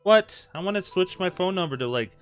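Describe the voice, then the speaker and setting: A man's voice: sits high, lively intonation, a little fast, smooth, faint music behind. A man doing let's play commentary, reading game text aloud with crude jokes and the odd stumble. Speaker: gameplay host.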